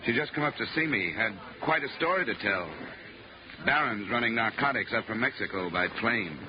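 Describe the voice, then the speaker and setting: An American actor playing a cool, hardboiled insurance investigator in a 1950s radio drama, terse and dryly wisecracking. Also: male voice, medium pitch, lively intonation, a little fast, close to an everyday voice. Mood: matter-of-fact. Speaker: lead actor and first-person narrator. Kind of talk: 1950s radio detective acting. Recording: muffled, noisy old radio recording.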